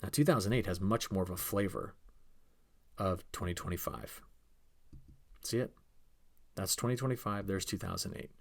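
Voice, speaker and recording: A man's voice: sits low, moderate pace, gravelly, pauses often. A man presenting an informal weather outlook, explaining in a conversational, emphatic way and posing rhetorical questions as a forecaster.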